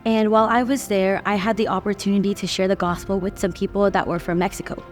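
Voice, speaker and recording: A young woman in her twenties speaks earnestly about what moved her, in a warm middle pitch. Soft music plays underneath her words. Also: flat delivery, fast talker. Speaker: student interviewee. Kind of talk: testimony in a tribute video.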